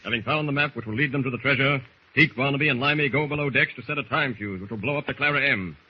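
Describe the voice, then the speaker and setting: A man's voice: medium pitch, quick pace, slightly rough. A man narrating a 1940s radio serial in a dramatic announcer style. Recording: muffled old radio recording.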